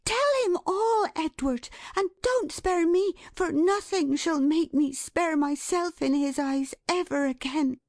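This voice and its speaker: Woman acting a breathless, excitable, high-voiced young wife. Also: measured pace, no echo, clean studio sound. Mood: urgent pleading. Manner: breathless, fluttering, emphatic. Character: excitable, affectionate, flustered young wife, a little creature. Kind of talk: dramatised classic storybook reading.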